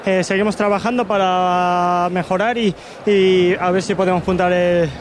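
A man giving a guarded, measured half-time interview as a football player. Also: high-pitched, flat delivery, a little hiss or hum. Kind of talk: short pitchside interview.

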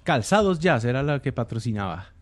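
Older Colombian man reminiscing, mid-pitched voice. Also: very animated, a little fast. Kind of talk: casual reminiscing.

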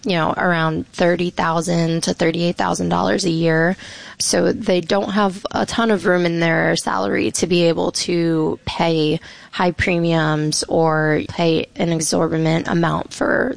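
A woman's voice: fairly low pitch, steady tone, unhurried, gravelly, no room sound. A woman reporter on a radio interview, explaining policy in a matter-of-fact, informed way with many "you know"s. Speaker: reporter guest.

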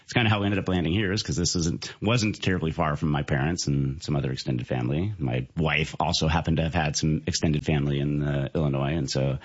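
A man's voice: extremely low pitch, steady tone, fast talker, few pauses, slightly rough, muffled recording. A man from California, a physician guest, answering casually and easygoing.

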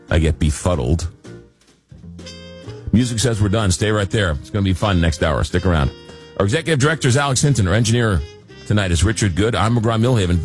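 Low-voiced rambling late-night radio man. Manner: rambling, hesitant, many fillers. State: recovering from a cold. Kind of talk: casual radio talk.

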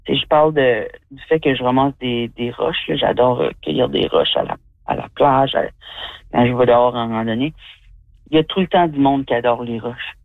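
A woman's voice: rumbling low, moderate pace, no echo. A woman from New Brunswick, a comedian chatting effusively in an interview, full of fillers. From New Brunswick, Canada. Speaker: comedian and guest. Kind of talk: interview answers. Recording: tinny phone-like line.

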